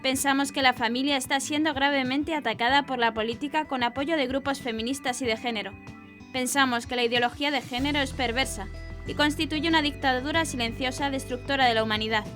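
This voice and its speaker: Woman newsreader with a high voice. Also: steady tone, fairly quick, music in the background. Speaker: news presenter. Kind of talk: scripted news narration.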